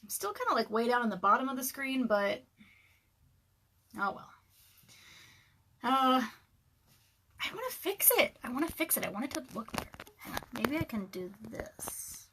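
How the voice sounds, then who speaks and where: Woman w a scratchy bright voice, rambly livestream chat from home, lots of ums. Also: on the high side, big pitch swings, moderate pace, pauses often, slightly rough. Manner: rambling, chatty, many ums and laughs. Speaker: author and livestream host. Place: dark room at home. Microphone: webcam livestream.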